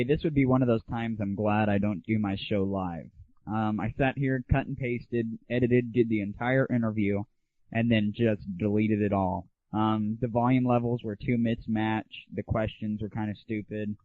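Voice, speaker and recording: A man hosting a gaming audio show, talking casually with a blunt, irreverent, opinionated manner. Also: lowish voice. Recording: muffled, phone-like lo-fi 1990s internet audio.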